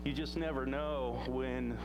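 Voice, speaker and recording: A man's voice: medium pitch, fairly even intonation, a little slow, background noise. A man preaching an informal sermon in a church, talking in a loose, rambling way with plenty of fillers and restarts. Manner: says he has a loud voice. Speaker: pastor.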